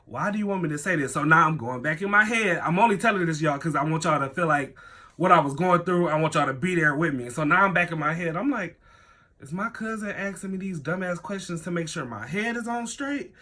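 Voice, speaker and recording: A young man with a stuffy nose, telling a personal story in a casual vlog, animated, full of slang and "like", swearing freely. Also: a little fast. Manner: animated, acts out his own thoughts in a higher voice.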